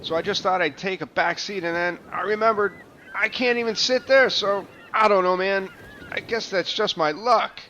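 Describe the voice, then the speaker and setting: High, sharp, grumbling castaway man, acted by a man. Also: moderate pace. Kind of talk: comedy radio play acting. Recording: dull, noisy lo-fi home recording.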